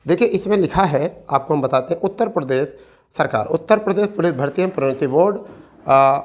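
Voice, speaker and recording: A man explains things like an exam coach. He speaks informally to his students, repeating key points and checking in with them often. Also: a little high. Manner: repetitive, checks in with listeners often. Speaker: exam-prep teacher and presenter.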